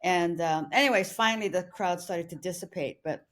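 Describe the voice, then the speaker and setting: A woman co-hosting a podcast, telling a personal story in an earnest, steady way. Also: fairly low pitch, a little fast. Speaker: podcast co-host.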